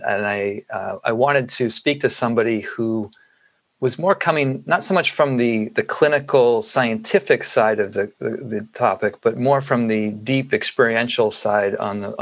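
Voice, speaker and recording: A man's voice: fairly low pitch, a bit bright. A man hosting a podcast interview, asking long questions full of restarts and fillers. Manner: rambling, many restarts and fillers. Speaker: podcast host. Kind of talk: conversational interview.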